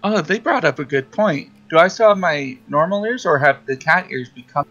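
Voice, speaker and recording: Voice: mid-range voice, animated, moderate pace, a bit bright. Tabletop player on a dull lo-fi mic. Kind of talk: casual third-person roleplay narration. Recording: dull lo-fi mic, faint music behind. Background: faint music.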